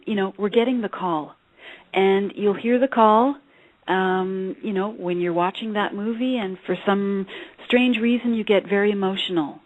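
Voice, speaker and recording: A woman's voice: mid-range voice, no room sound. A woman speaking as a guest on a talk show, earnest and hesitant, with many fillers. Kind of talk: casual talk show chat. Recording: dry tinny phone line.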